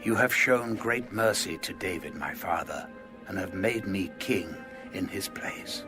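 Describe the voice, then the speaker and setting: A humble king in an audio Bible drama. His deep voice is earnest and reverent, with music clearly heard behind it. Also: unhurried.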